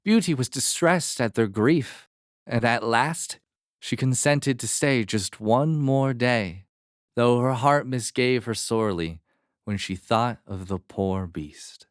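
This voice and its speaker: A man narrating a fairy tale as a storyteller, in a measured, expressive manner. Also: medium pitch, no room sound, studio quality.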